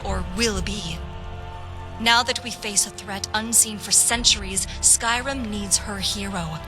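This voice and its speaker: A woman's voice: medium pitch, natural voice. A woman playing an earnest, patriotic young noble lady officer in a fantasy game, speaking formally and persuasively. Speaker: voice actor in a fantasy game quest mod. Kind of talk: scripted fantasy game dialogue. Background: background music.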